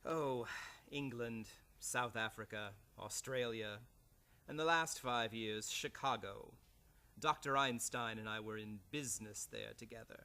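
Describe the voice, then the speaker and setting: A man playing a menacing, murderous prodigal nephew in a radio play, speaking with a slow, ominous drawl. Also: medium pitch, bright, forceful tone. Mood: smooth menace. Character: menacing grown nephew, a killer with a altered face. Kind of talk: old-time radio play acting.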